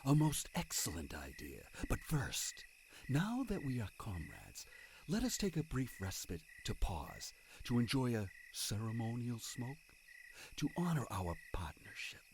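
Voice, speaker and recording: A man's voice: mid-range voice, wildly swooping pitch, a little slow, slight background noise. A man playing a suave, scheming older professor in an audio drama, with grand, formal, theatrical speech. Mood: suave, courteous.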